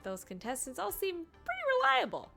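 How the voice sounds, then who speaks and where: Streamer: woman, high bright voice, playful off-the-cuff commentary, faint game music behind. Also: a little fast, vocal fry. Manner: playful, animated. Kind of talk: casual livestream reactions to a game.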